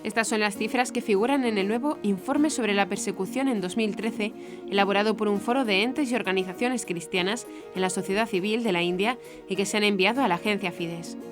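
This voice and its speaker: A woman presenting scripted news in a formal, measured way. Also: medium pitch. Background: background music.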